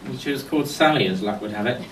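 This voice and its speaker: A middle-aged man giving a eulogy as a speech of humorous anecdotes, told in a wry, unhurried way. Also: mid-range voice, lively intonation. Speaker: speaker giving a memorial tribute. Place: indoor function venue at a golf club, before an audience. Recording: echoey room, distant mic, faint noise.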